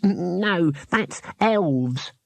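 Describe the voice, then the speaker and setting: A man's voice: high-pitched, animated, drawn-out words, gravelly, no echo, pitched above the performer's own voice, heavily acted. A small storybook gnome, acted out by a man, raised a little above a grown man's talk. He is cagey and hesitant, choosing words with care and understating every warning. The recording is dry and close. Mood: cagey, cautious. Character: gnome, small, cagey, slow-spoken local know-it-all.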